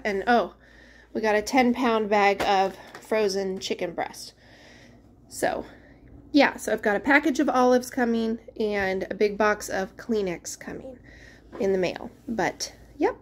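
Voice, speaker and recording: A young mother casually walking through her family's grocery haul and pantry at home, chatty and sometimes losing her words, recorded on a handheld camera. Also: mid-range voice. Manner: chatty, loses words, trails off. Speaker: mother of a large family, vlogger. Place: indoors at home, dining room and pantry. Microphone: handheld camera held at chest height.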